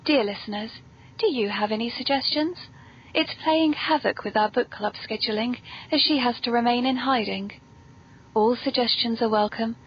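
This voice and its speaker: A British woman hosting a whimsical scripted comedy podcast in a genteel, very polite, playful manner. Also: husky. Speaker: podcast host. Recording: muffled, noisy low-quality podcast audio.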